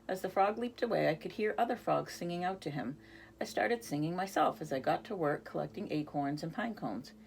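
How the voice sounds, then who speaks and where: A woman's voice: fairly low pitch, lively intonation, a little fast, vocal fry, a little hiss or hum, low-quality recording. A woman reading a picture book aloud expressively, now and then speaking to young listeners. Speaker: reader.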